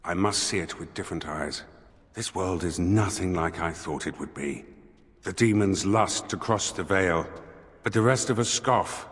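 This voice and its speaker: An actor playing a solemn, wondering spirit in a dead man's body for a video game, grave, formal and deliberate. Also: low-pitched, fairly bright tone, in a large hall. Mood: wondering, earnest.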